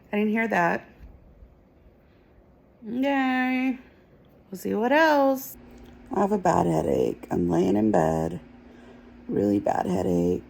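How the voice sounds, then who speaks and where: A woman filming a casual home vlog about her family, speaking softly and quietly into a phone camera held close. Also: creaky. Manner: soft, low-volume talk that others struggle to hear. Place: at home, partly in bed.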